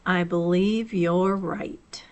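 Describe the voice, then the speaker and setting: A woman voicing a gloomy, resigned old donkey from a storybook, with a slow, mournful delivery. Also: lowish voice, steady tone, darkish tone, voice pushed down.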